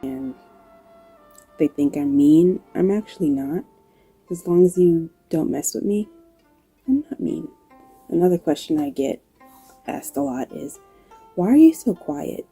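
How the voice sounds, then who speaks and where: A woman with a low-pitched voice and a New York accent that has slight Southern touches. She talks casually to camera at a slow, relaxed pace, dry and low-key, with music in the background. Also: breathy edge. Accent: New York with occasional Southern touches. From New York, United States. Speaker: video creator and graphic designer. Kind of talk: casual talk to camera answering questions.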